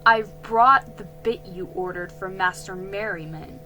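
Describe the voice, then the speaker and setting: A woman voicing a steady, sensible young man in a storybook reading. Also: medium pitch, unhurried, faint music behind, poor audio. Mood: cautious, dutiful. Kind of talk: storybook character voice.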